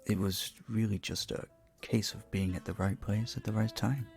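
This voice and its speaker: A man playing a charming, polite vampire bartender in an intimate audio roleplay, soft-spoken and slightly formal. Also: fairly low pitch, fairly even intonation, normal speed, gravelly, faint music behind. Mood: modest, conversational. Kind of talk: audio roleplay, intimate one-sided dialogue.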